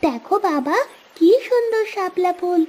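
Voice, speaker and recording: A woman's voice: very high voice, animated, moderate pace, darkish tone. A woman voicing an eager, trusting little village girl in a cartoon, pleading in a childlike way. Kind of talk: Bengali cartoon voice acting. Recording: noisy ambience behind the voice.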